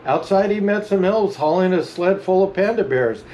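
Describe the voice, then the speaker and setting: A man reading a children's storybook aloud as a Santa storyteller, with animated, chuckling narration. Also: high voice, moderate pace, a little hiss or hum. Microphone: camera mic.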